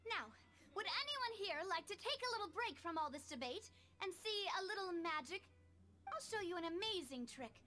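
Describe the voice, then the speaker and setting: A confident teasing young lady, anime game, high bright lively voice, faint music. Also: normal speed, heavily acted. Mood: playful, confident. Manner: showy, teasing, persuasive. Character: bold, playful, confident young lady taking charge. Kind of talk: anime video game acting.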